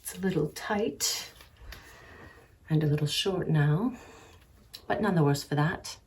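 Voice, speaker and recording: A woman's voice: low-pitched, measured pace, a little mellow. A woman playing a wry, envious single dinner guest, dry and arch, in a dramatized novel reading. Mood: envious musing. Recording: distant mic with clear background noise.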